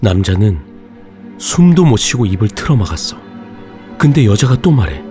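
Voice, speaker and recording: A man narrating a ghost story in a casual, slow and suspenseful way. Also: fairly low pitch, sing-song, warm tone, soft background music.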